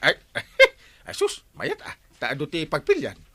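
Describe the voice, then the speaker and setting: An excitable village captain in an Ilocano radio comedy. The Filipino voice swoops widely, flattering and wheedling like someone forever short of money. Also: male voice, fairly high pitch, measured pace, dry recording, heavily acted. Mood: excitable, curious. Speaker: radio drama actor. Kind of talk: broad radio comedy acting.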